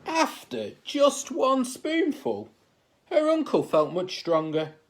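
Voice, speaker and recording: Male teacher reading a children's bedtime story: unhurried, highly animated intonation, expressive narration.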